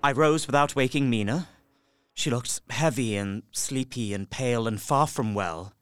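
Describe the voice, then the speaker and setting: A man's voice: mid-range voice, normal speed, clear, resonant tone, no room sound, lightly acted. An actor playing an earnest young solicitor and devoted husband, narrating his journal in an audio drama. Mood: weary, concerned.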